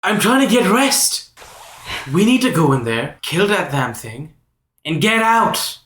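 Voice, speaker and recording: A woman's voice: lowish voice, big pitch swings, measured pace, a bit bright. A woman playing a weary, exasperated adventurer in tabletop roleplay, curt and pleading, in an echoing room.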